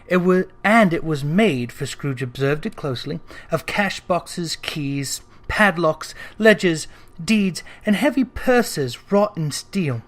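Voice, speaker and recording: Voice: medium pitch, expressive, slow. A narrator reading a classic tale aloud as dramatic storybook narration. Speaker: narrator, reader.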